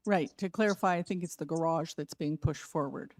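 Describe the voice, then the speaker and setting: A woman chairing a public planning hearing, brisk and procedural, losing her voice. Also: deep voice, over a noisy crowd. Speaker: committee chair.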